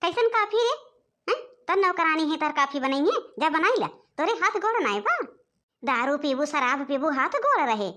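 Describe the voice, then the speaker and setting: A woman's voice: sits high, big pitch swings, moderate pace, sharp tone, exaggerated voice. A woman voicing a worried, scolding village mother-in-law in a cartoon comedy, with an Awadhi accent and a lamenting, nagging manner. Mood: angry scolding. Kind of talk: animated comedy dialogue.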